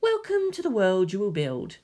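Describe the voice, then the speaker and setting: A man's voice: high-pitched, huge pitch swings, normal speed, dark tone. A man voicing a cheerful, sly young male schemer in a story, with an airy and playful manner. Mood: smug.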